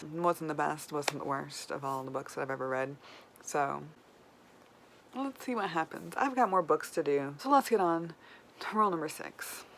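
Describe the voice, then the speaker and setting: A woman's voice: on the low side, low-quality recording. Casual woman vlogger over background noise. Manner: informal, wryly self-deprecating. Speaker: book vlogger. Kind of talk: casual chat to camera.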